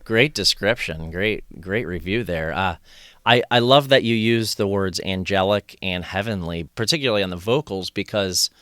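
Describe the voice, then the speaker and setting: A man with a lowish voice and a clear, bright tone hosts a relaxed music chat. He backs up his guest warmly and asks considered follow-ups. The sound is clean and dry on a close mic. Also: moderate pace, no room sound. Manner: affirming, asks thoughtful questions. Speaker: podcast host. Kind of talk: casual interview chat.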